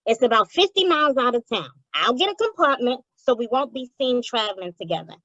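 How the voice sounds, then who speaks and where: A woman's voice: fairly high pitch, big pitch swings, a little fast, fairly bright tone, no echo, dull audio, full character voice. A woman playing a slangy, wisecracking, streetwise and wary underworld informant in old-time radio crime comedy style. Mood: businesslike, wry. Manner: slangy, wisecracking gangland talk.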